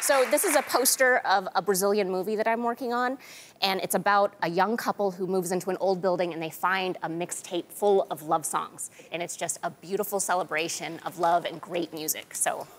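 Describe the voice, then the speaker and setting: A woman's voice: on the low side, steady tone, a little fast, crowd noise, background noise. An American woman in her thirties giving enthusiastic, conversational answers as a guest artist in an interview. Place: large TV studio with audience. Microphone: lapel mic. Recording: studio audience faintly behind.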